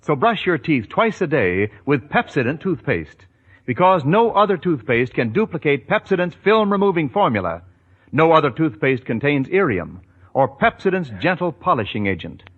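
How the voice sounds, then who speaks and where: Husky, animated male radio announcer. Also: on the high side, normal speed. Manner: emphatic, reassuring sales delivery. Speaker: commercial announcer. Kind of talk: 1940s radio advertisement read. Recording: tinny band-limited old radio recording.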